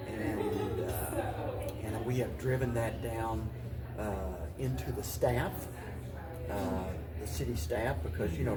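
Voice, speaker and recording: An American man answering interview questions in a deliberate, halting way, often restating his phrases as he goes. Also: fairly low pitch. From Paducah, Kentucky, United States. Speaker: mayor and interview guest.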